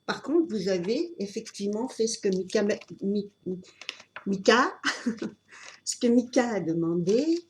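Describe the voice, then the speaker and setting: A woman with a cold giving an unscripted tarot reading, talking in a halting way with many fillers and restarts. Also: mid-range voice, animated, a little hiss or hum. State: has a cold. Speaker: tarot reader.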